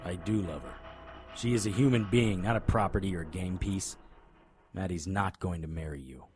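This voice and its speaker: Man acting a deep-voiced hardboiled detective. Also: normal speed, music in the background, lightly acted. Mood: firm, defiant. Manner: terse, hardboiled, serious. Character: tough, determined male private investigator narrating his story. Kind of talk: first-person audio drama narration and dialogue.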